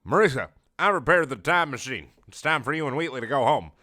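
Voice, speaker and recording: A devoted engineer hero, acted out by a man, raised in pitch and overwrought. He delivers heartfelt lines with soap-opera melodrama in a fan-story reading. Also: expressive, fairly quick, pushed and bright. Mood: earnest.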